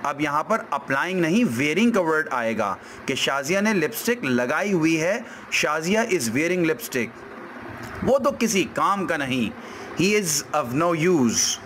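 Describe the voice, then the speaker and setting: A man with a sore throat, teaching a language lesson slowly and repeating each example sentence. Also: fairly high pitch, sing-song, fairly bright tone, slight background noise.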